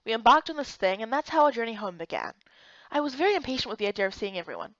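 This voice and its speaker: A woman voicing an earnest, excitable young heroine, reading a fantasy game story in the first person with expressive, dramatic delivery. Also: fairly high pitch, racing, no echo, dull audio.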